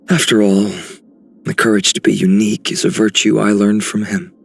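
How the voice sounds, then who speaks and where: A man voicing a noble, philosophical warrior in a video game, measured, earnest and formal. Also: lowish voice, gravelly. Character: noble, philosophical young warrior, steady and respectful. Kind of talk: video game character voice lines.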